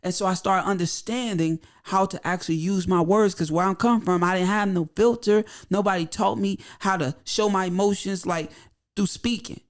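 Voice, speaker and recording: High-voiced man, blunt and emphatic. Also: steady tone, normal speed. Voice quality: high-pitched voice. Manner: blunt, repeats his points for emphasis. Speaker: guest. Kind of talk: casual podcast conversation.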